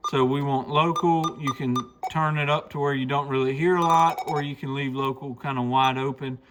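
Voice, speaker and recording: A man's voice: mid-range voice, fairly even intonation, moderate pace, over music. A man giving a casual, unscripted product walkthrough in an informal, explanatory way as host, recorded in a shop. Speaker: radio shop host demonstrating a CB radio. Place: radio shop. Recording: clear background noise with radio clicks.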